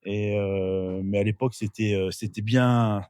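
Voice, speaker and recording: A man's voice: lowish voice, fairly even intonation, a little slow, warm tone, clean tone, dry recording, clean studio sound. A middle-aged man from northern France, a podcast guest telling his life story casually, with many fillers and restarts. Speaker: interview guest.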